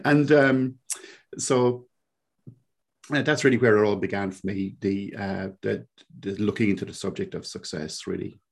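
A man's voice: on the low side, steady tone, normal speed, vocal fry. A man around sixty with a Cork Irish accent, a podcast guest who talks in a reflective, rambling way full of fillers. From Ireland. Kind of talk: conversational interview.

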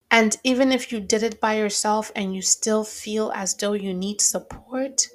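A woman hosting her own podcast, talking thoughtfully and earnestly in a reflective solo monologue with a slight stammer. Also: medium pitch. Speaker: podcast host.

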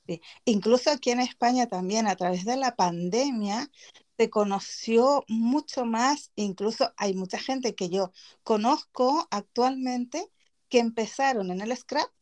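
Canarian-accented woman podcast host, bright and a bit high, talkative, laughs easily. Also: measured pace. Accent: Canary Islands Spanish. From Chile. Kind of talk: casual chat.

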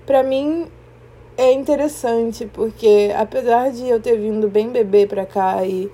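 Young woman, Brazilian Portuguese accent, podcast host, reflective monologue, word-searching pauses, warm tone. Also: fairly high pitch. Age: young adult. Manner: thoughtful, searching for words, pauses and restarts.

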